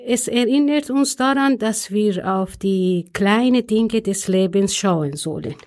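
Slow, careful woman. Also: medium pitch, a little mellow. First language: Persian. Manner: simple, careful phrasing.

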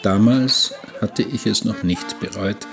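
A low male voice tells a radio play in the first person, a reflective traveller working at sea. The telling is literary and wistful, with music beneath it. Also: slow, a crowd in the background. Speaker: narrator and protagonist.

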